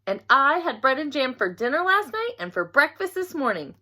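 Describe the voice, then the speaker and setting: A woman voicing an eager, expressive young girl in a children's storybook. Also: high voice, moderate pace, exaggerated voice. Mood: earnest. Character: Frances, eager young girl who sings about jam.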